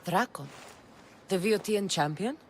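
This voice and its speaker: A woman's voice: medium pitch, lively intonation, measured pace, a little hiss or hum. An actress playing a bold, self-assured female mercenary of ancient Greece in a video game, direct and confident. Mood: wry, sympathetic. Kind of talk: dramatic video game dialogue.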